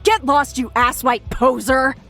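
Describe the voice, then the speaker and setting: A woman's voice: high voice, measured pace, vocal fry, a bit bright, faint music behind, full character voice. An actress playing a scornful, foul-mouthed young metalhead woman in an audio drama, biting and sarcastic. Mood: exasperated.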